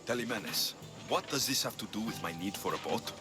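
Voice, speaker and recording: Actor as a low-voiced, wry Greek mercenary. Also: male voice, animated, fairly quick, close to an everyday voice. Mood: bargaining, impatient. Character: young Greek mercenary, bold, wry and blunt. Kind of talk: video game cutscene dialogue. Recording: music behind the voice.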